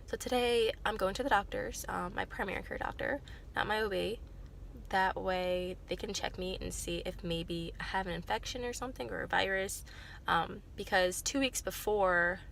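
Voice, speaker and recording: Congested, nasal young woman with a gravelly voice. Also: fairly low pitch, fairly bright tone, slight background noise. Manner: rambling, filler-heavy, self-correcting. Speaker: vlogger. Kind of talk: casual chat to camera.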